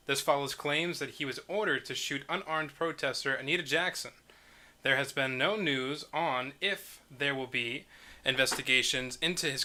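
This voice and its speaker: A man's voice: mid-range voice, sharp tone. A man playing a formal television news anchor in a screenplay table read.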